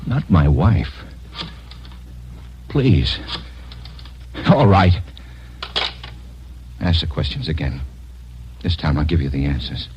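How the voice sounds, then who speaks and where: A man's voice: very deep voice, big pitch swings, slow pace, long pauses, highs cut off, heavily acted. An actor playing an uneasy, pleading crime suspect in his late thirties in a 1940s radio drama. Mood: desperate, pleading. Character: desperate, pleading male hijacking suspect in handcuffs, late thirties. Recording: very noisy old radio recording with clicks.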